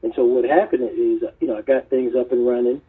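A man's voice: medium pitch, steady tone, quick pace, slightly rough. A middle-aged man from South Carolina, a retired police chief telling his story in an earnest, rambling way as a podcast guest. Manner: earnest, storytelling, many fillers and restarts. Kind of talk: casual podcast interview. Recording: tinny phone-line audio.

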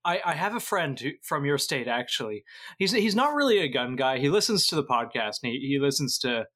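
A man's voice: mid-range voice, big pitch swings, brisk, sharp tone. A man from Canada talking as a podcast guest in casual, eager and jokey chat.

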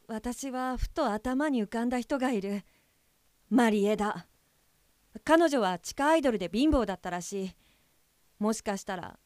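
Woman playing an anxious high-voiced care worker. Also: darkish tone, clean tone, dry recording, studio quality. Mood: troubled, brooding. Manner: flustered, self-questioning. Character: anxious, earnest young female guide helper for the blind. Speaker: voice actor. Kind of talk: audio drama acting with inner monologue.

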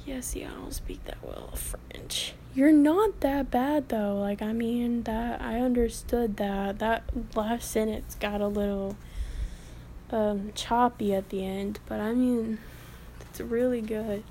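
A woman's voice: a little high, fairly even intonation, takes it slowly, mellow, a little hiss or hum. A woman in her late teens giving casual, rambling commentary as a vlogger, with the odd whispered aside.

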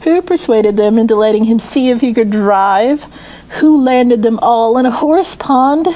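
A woman's voice: fairly high pitch, measured pace, warm tone, close to an everyday voice, poor audio. A woman voicing a boastful, reckless male toad in a storybook, crowing in grandiose, self-admiring declamation. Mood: gleeful self-admiration. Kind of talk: children's storybook character voice.

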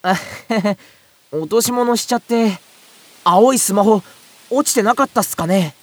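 A woman playing an excitable, scatterbrained young boy who complains loudly in an audio drama. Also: mid-range voice, a little slow, no room sound, close to an everyday voice. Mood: flustered. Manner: exclamatory, rambling comic complaints. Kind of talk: audio drama acting with first-person narration.

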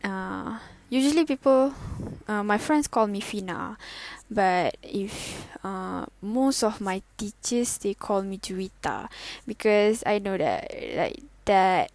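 Young woman, hesitant solo podcast talk, dry close sound, slow. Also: mid-range voice, lively intonation, darkish tone, dry recording. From Malaysia. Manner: hesitant, halting, with many fillers and self-corrections; giggly, self-conscious. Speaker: student podcaster. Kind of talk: casual solo podcast intro.

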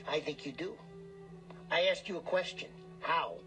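An actor in a radio drama playing a pushy, greedy small-time hustler who talks in cocky, needling slang. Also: male voice, on the high side, normal speed, pushed and bright. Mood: probing. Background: music.

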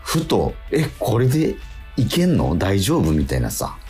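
A middle-aged man chatting casually as a radio host, in a hesitant, halting and self-doubting way. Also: fairly low pitch, huge pitch swings, a little mellow, faint music behind. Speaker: radio host and stage actor.

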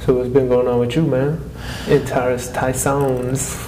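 Male co-host for casual podcast chat, soft dark timbre, informal, faint noise in the background. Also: mid-range voice, flat delivery, measured pace, roomy sound, rough recording.

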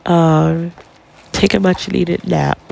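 A woman's voice: on the low side, steady tone, takes it slowly, gravelly, darkish tone, rough recording. A young woman hosting her own podcast while congested from a cold, chatting casually and encouragingly with plenty of ums. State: congested with a cold, stuffy nose. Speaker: podcast host. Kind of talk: casual solo podcast advice.

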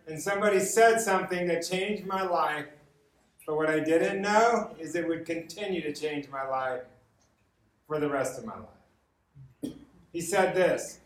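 An American man giving an informal sermon with personal stories, conversational and repeating words for emphasis. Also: fairly high pitch. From Los Angeles, United States. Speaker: guest preacher. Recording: roomy echo, distant from the mic.

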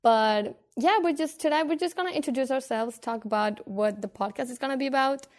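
A woman's voice: high voice, fairly quick, darkish tone. A woman in her twenties from Spain, hosting a casual podcast chat with friends, loose and laughing with many fillers. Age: 20s. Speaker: podcast host.

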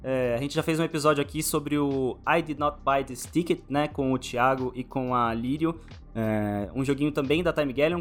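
A Brazilian man hosting a podcast interview in an informal, chatty, slangy way. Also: a little high.

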